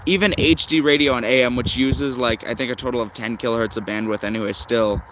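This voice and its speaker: A man hosting a hobby live stream, chatting casually and haltingly as he thinks aloud like a keen enthusiast. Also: mid-range voice, steady tone, a bit bright, a little hiss or hum, low-quality recording. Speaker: host of a shortwave radio listening stream.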